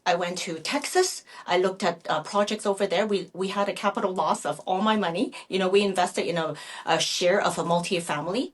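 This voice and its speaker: A middle-aged woman, a native Chinese speaker raised in Canada, answering interview questions conversationally in a halting, self-correcting way. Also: mid-range voice. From Vancouver, Canada. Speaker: real estate agent guest.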